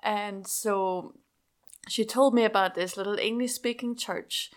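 A woman's voice: mid-range voice, steady tone, unhurried. A young woman from Switzerland with a Swiss accent, a senior pastor earnestly telling her story as an interview guest. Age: young adult.

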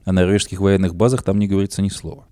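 A man reading a news report on a radio program, running through quotes in the same steady reading voice. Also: low voice, racing, no echo, clean studio sound. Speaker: news presenter.

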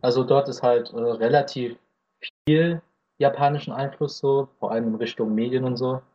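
Nineteen-year-old man, dark husky voice. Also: mid-range voice, fairly even intonation, moderate pace, muffled recording. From Thailand. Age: teens. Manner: hesitant, many fillers. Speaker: podcast guest, anime YouTuber. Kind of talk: casual podcast chat.

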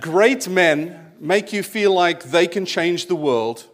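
A South African man in his forties preaches in a relaxed, storytelling way, speaking a little higher than most men. He drops in wry asides and light self-mockery as he goes. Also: slow. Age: 40s. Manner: conversational storytelling with asides and self-deprecating humour. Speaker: pastor. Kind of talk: sermon.

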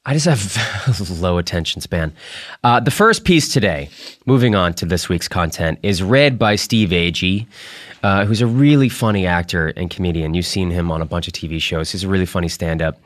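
A man hosting a podcast, introducing material in a casual, halting, informal way. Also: sits low, dry recording, clean studio sound. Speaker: podcast host.

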